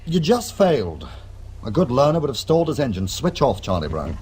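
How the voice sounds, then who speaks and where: A man's voice: medium pitch, big pitch swings, brisk, a little mellow, slight background noise, close to an everyday voice. An actor playing a wry, urbane male criminal in a radio drama, speaking and narrating in a dry, sardonic, literary manner. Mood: dry, exasperated. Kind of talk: radio drama acting and first-person narration.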